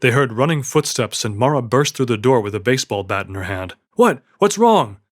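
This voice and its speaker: A man's voice: mid-range voice, fast talker. A man reading fiction as an audiobook narrator, with a dramatic storytelling delivery. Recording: music under the voice. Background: music.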